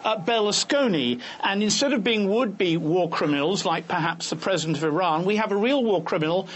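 A man's voice: sits high, animated, fairly quick, a bit bright, a little hiss or hum. A man speaking as a panel guest, blunt and sardonic in his remarks. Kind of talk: debate commentary.

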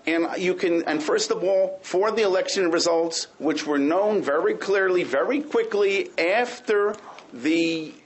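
A man's voice: high voice, a little slow, poor audio. A man speaking as a panel guest in a heated debate, insistent and pushing to be heard.

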